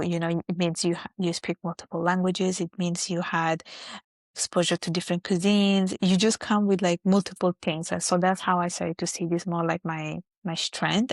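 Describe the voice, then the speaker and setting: A young woman with an Italian accent talks things through in an even, fairly low voice. She leans on fillers like "like" and "you know" as she reflects. The sound is close and dry. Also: no echo. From Italy. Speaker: guest. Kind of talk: casual interview chat.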